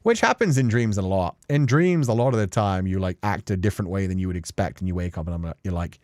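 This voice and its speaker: A man's voice: deep voice, expressive, fast, darkish tone, no room sound, clean studio sound. A man giving casual, emotional commentary on a story game, rambling informally through his analysis as a streamer. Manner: informal, swearing, rambling analysis with acted-out paraphrases.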